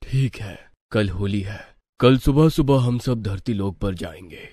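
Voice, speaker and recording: A man voicing a calm, solemn god who speaks in a measured way in an animated devotional story. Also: lowish voice, slightly rough, no echo.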